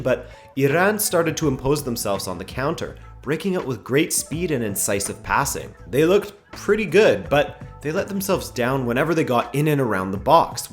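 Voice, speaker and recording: A man hosting a daily football review show, delivering a scripted match rundown with dry, wry asides while losing his voice a little. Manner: dry, wry commentary with lively pitch swings.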